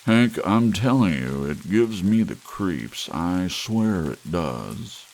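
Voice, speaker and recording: A rattled lawyer speaks in a low, gravelly voice, pressing his point with anxious urgency, as a man reads his lines. The delivery is part of a slow, soft audiobook reading. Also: big pitch swings, a little hiss or hum, heavily acted. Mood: uneasy. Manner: anxious, pleading. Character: nervous, shaken male lawyer, adult.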